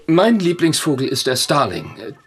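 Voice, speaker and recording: A man playing a courteous, chatty English gentleman, polite and flattering, in a German radio play. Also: fairly high pitch, moderate pace, music in the background.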